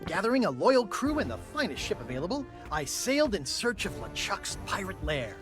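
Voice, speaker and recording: Boastful, befuddled pirate hero. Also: male voice, high-pitched, wildly swooping pitch, normal speed. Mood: proudly boasting. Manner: theatrical, self-important storytelling that trails into absent-minded muddle. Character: boastful, befuddled male pirate hero turned island governor. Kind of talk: video game voice acting, storytelling monologue. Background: music underneath.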